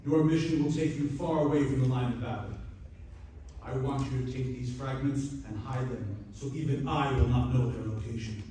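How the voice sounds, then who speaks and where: A man's voice: medium pitch, moderate pace, dark tone, a little hiss or hum, natural voice. A man playing an ancient but youthful-sounding king, stern and imperious, in a live radio play. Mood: grave, resolute. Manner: imperious, declamatory. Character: centuries-old but youthful king, stern, commanding, worried. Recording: echoey convention hall, distant mic.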